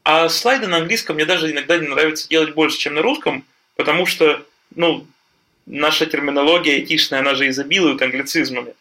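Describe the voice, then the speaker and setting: A man chatting casually on a panel, talking in a halting way with lots of fillers and restarts. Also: fairly high pitch, sharp tone. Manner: hesitant, many fillers and restarts. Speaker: podcast guest, software developer.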